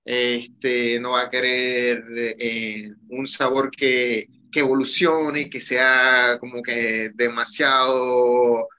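A man's voice: medium pitch, level tone, extremely slow, few pauses, pushed and bright, slight background noise. A man in his thirties, a cigar shop owner, talking casually over a video call from a small room, drawing out his phrases with many fillers. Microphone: webcam on a video call.

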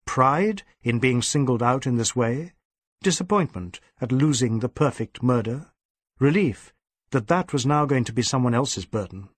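A man's voice: medium pitch, no room sound. A man reading literary fiction as an audiobook narrator, in a scripted storytelling style.

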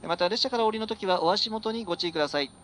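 A man's voice: rapid-fire, a bit bright. A man working as a train conductor, giving formulaic live announcements over the PA. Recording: train PA with vehicle noise. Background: train rumble.

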